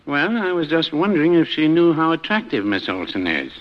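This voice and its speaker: An actor playing a mild, droll older married man in a 1950s radio comedy, understated and gently needling. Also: fairly high pitch, big pitch swings, a little fast. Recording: dull, faintly noisy 1950s radio recording.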